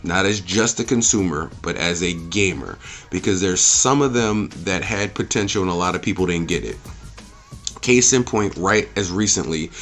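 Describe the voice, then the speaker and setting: A man's voice: low-pitched, a bit bright. Blunt, profane man ranting over music. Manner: emphatic, blunt and profane, with repeated refrains. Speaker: video game movie reviewer and channel host. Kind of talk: opinionated review rant to camera.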